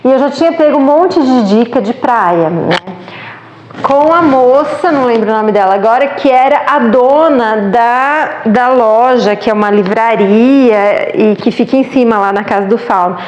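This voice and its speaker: A woman's voice: fairly high pitch, moderate pace, a little mellow, roomy sound, highs cut off, a little hiss or hum. A Brazilian woman with a Brazilian Portuguese accent, chatting casually and with animation as she tells a travel story, laughing often. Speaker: travel vlogger.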